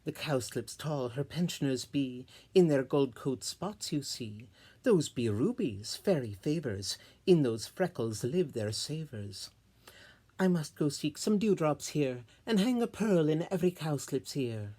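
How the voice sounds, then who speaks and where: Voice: medium pitch, animated, a little slow, mellow. A solo reader voicing a nimble fairy servant in lilting, theatrical Shakespearean verse. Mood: airy, lively.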